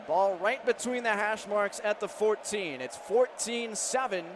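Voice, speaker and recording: An American man calling live football play-by-play on the radio, describing each move and building to excited calls. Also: sits high, unhurried. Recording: clear stadium noise behind broadcast commentary. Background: outdoor stadium ambience.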